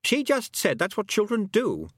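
A man voicing a haughty yet anxious twelve-year-old lost boy in a storybook, emphatic and excitable. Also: sits high, normal speed, no echo, studio quality, pitched above the performer's own voice, exaggerated voice. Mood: indignant.

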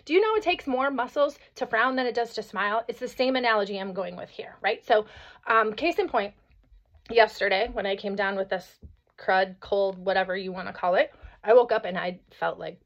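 A woman in her thirties or forties hosting a motivational podcast, hoarse from a cold, talking energetically and often asking "right?". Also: medium pitch, normal speed. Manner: energetic, chatty, peppered with "right?" and "like". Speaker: podcast host. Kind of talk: motivational solo podcast talk. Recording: slightly dull lo-fi audio.

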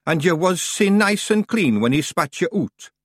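Guy doing a meek scottish fairy dude, high and timid, very dry sound. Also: expressive, normal speed, dry recording. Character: timid simple little fairy man.

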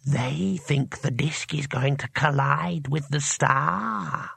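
A man voicing an ancient, disembodied talking spell, pompous yet muddled, with a rustling, papery voice and drawn-out delivery in a storybook reading. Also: fairly high pitch, vocal fry, bright, forceful tone, breathy edge, no room sound, full character voice. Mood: grave, explaining.

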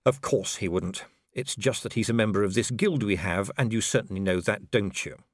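A man voicing a gruff, exasperated middle-aged commander who talks bluntly and indignantly in an audiobook. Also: on the low side, moderate pace, dry recording, clean studio sound, natural voice. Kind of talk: audiobook character voice.

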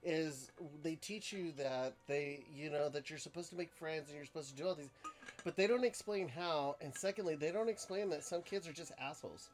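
A man's voice: a little high, fairly quick, a little hiss or hum. A young man whose first language is Spanish, talking bluntly in a casual podcast chat and swearing freely. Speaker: podcast host.

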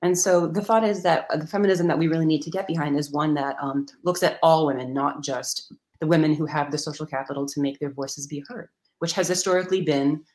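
A woman author explaining ideas in an interview, talking quickly with lots of hedging and restarts. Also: low-pitched, fairly even intonation, darkish tone. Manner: rapid, hedging, many restarts. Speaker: guest author.

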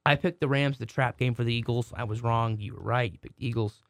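A hoarse man co-hosting a sports podcast, talking casually and restarting his sentences often. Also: mid-range voice, quick pace, dry recording, clean studio sound. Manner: rambling, many restarts. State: hoarse from a cold. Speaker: podcast co-host.

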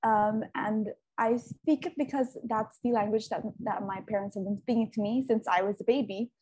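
A woman's voice: a little high, steady tone, normal speed, a little mellow, breathy edge, rough recording. A young woman of Chinese background, a native Hokkien speaker, hosting a podcast in a chatty style full of "like". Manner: chatty, peppered with "like". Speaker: podcast host. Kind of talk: casual podcast chat.

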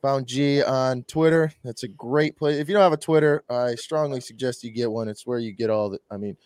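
Smooth-voiced young podcast host. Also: male voice, mid-range voice, a little fast, darkish tone, dry recording, clean studio sound. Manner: upbeat, loose, laughs easily. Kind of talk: casual podcast chat.

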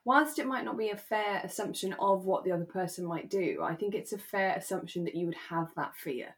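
A woman's voice: mid-range voice, a little fast. A woman co-hosting a podcast, chatting casually in an earnest, emphatic and affirming way. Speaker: podcast co-host. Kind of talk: casual chat reviewing a radio drama.

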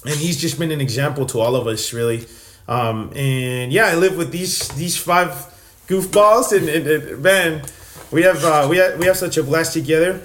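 A man's voice: fairly high pitch, normal speed, a bit bright, a little hiss or hum. A young man from Texas sharing his faith story in casual, earnest talk, full of fillers and restarts. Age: young adult.

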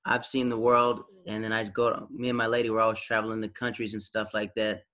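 A man from the United States, a podcast guest chatting casually and telling relaxed stories, acting out the reactions he quotes. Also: fairly low pitch, fairly quick. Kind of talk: casual conversational interview.

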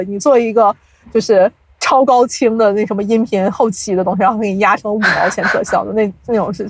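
A woman's voice: medium pitch, a little hiss or hum, rough recording. A woman speaking as an interview guest, explaining audio production in casual chat and mixing in English terms.

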